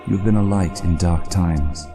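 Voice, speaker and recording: A man voicing a sorrowful, glorious winged angel, fatherly and gentle, speaking solemnly in a dramatic reading. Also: deep voice, over music, lightly acted. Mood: compassionate. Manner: solemn, slow, tender, grave. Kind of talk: dramatic audiobook-style character acting.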